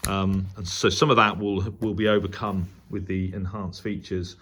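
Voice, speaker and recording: A British man giving a casual, unscripted radio review as a hobbyist vlogger, rambling along in a hesitant, halting way. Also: low-pitched, little pitch movement, a bit bright, slightly rough. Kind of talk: casual unboxing and review talk.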